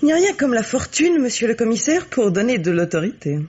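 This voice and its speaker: An actress playing a cynical, haughty bourgeois woman in a French radio mystery, speaking in a measured, articulate, coolly disdainful way. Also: on the high side, sing-song, a little mellow, breathy edge. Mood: bitter, disdainful. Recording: dull vintage radio recording.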